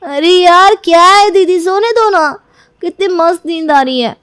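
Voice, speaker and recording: A woman's voice: very high voice, a little slow, a bit bright, dry recording, clean studio sound, voice pushed up. A woman voicing a playful, lazy young bride with a bubbly, childlike manner. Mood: sleepy whining.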